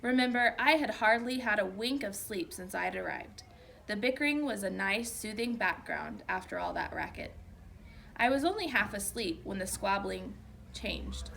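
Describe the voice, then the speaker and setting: A woman reading a children's story aloud like a teacher, with expressive, emphatic narration. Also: medium pitch, normal speed, vocal fry, slight background noise.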